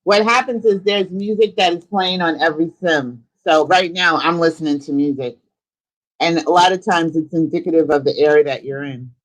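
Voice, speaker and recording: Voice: medium pitch, moderate pace, fairly bright tone, poor audio. A live stream host chatting casually and informally, thinking aloud while giving a tour of a virtual world.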